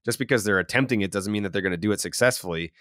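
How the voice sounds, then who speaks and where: Guy hosting a baseball podcast, forceful bright voice, kinda low, dry close sound. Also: very fast, no echo. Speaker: sports podcast host. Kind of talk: casual baseball podcast chat.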